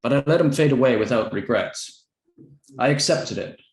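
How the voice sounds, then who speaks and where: A man's voice: mid-range voice, expressive, moderate pace, darkish tone, echoey room, poor audio. A man moderating a book talk, modestly reading an English translation aloud and asking questions. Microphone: video call.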